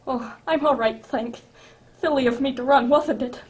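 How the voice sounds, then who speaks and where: A woman acts out a hurried fellow in a Santa suit in a radio play. The voice is very high, polite and sheepish. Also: natural voice. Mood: sheepish, thankful. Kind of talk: amateur radio drama acting.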